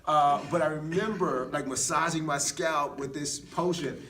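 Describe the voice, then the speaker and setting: A man's voice: on the high side, steady tone, moderate pace, sharp tone, distant mic. A middle-aged American man telling personal stories casually in a candid, self-deprecating way, often saying "man" and "dude.". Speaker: podcast speaker, former Marine.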